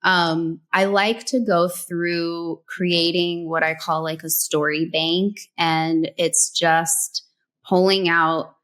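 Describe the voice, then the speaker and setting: Brief: woman from New Mexico, interview guest, low voice, unhurried, relaxed talk full of "like". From New Mexico, USA. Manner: relaxed, conversational, many "like"s and "um"s. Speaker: guest, brand story strategist. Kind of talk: casual interview chat, giving advice.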